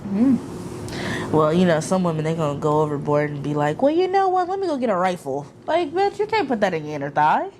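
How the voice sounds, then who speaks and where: A woman's voice: on the low side, sing-song, fairly quick, noisy background. A woman co-hosting a casual chat podcast, blunt and emphatic, swearing freely. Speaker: podcast co-host.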